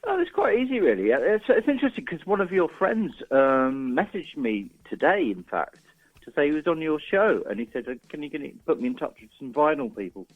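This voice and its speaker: A man's voice: on the high side, very animated, brisk. A man being interviewed as a musician guest in casual chat, hesitant and easygoing, over a narrow phone-like connection. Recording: narrow, muffled phone-like call.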